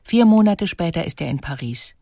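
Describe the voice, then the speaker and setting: A woman narrating a scripted biographical documentary in a measured, articulate manner. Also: lowish voice, lively intonation, vocal fry, mellow, no echo, clean studio sound.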